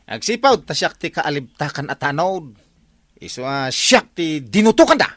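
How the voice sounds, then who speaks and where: A man's voice: fairly high pitch, very animated, moderate pace, a bit bright, no room sound. An actor playing a self-important village watchman in a radio comedy drama, with an Ilocano accent.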